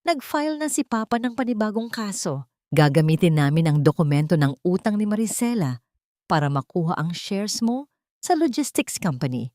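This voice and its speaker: A woman's voice: medium pitch, sing-song, moderate pace, darkish tone, no room sound, studio quality, lightly acted. A woman voicing a bitter, menacing rich woman in a narrated drama story. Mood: threatening. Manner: accusing, menacing. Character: bitter, threatening rich woman out for money and revenge.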